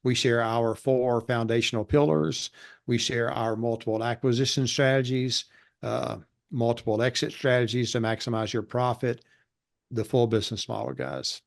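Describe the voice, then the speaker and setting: A man with a monotone voice, a real estate educator giving steady explanations in a casual interview. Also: mid-range voice, normal speed, gravelly. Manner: steady explanatory delivery with fillers.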